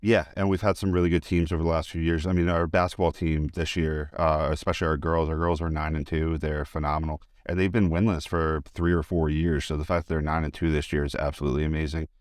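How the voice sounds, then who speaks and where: A man's voice: extremely low pitch, flat delivery, fast talker, hardly any pauses, no echo, clean studio sound. A man giving a casual, chatty interview, telling anecdotes in an informal, enthusiastic way. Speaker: interview guest, high school teacher and sports announcer.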